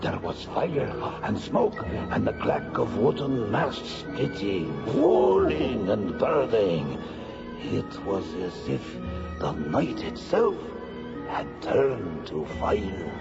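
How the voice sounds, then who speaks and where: An actor playing an excitable, fawning fantasy fleet officer with melodramatic, drawn-out delivery in a radio drama. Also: male voice, fairly low pitch, vocal fry, loud music behind the voice, exaggerated voice. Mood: awestruck, rapturous. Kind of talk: comic radio drama acting. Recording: dull old radio drama recording.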